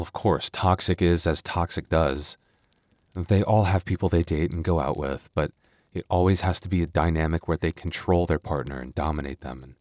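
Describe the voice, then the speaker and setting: A man's voice: rumbling low, a little mellow, no echo, studio quality. A man playing a young werewolf boyfriend, tender but protective with a tough edge, in an intimate ASMR roleplay.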